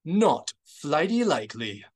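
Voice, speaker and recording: A man's voice: on the high side, sing-song, slow, pitched above the performer's own voice, heavily acted. Stern male security colonel for an audiobook, guarded and stiff, used to command acted by a male reader. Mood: grim, irritated.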